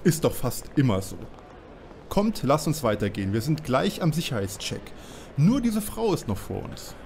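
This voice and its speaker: An actor playing an earnest, conciliatory young detective sidekick in a parody radio play. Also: male voice, mid-range voice, very animated, moderate pace, a little mellow, a little hiss or hum.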